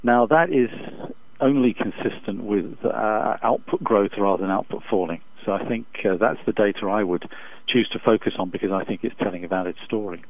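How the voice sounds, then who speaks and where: A man speaking as an expert economist in an interview over the phone, giving measured, formal, halting analytical answers. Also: lowish voice. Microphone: telephone. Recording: dull, muffled phone interview line.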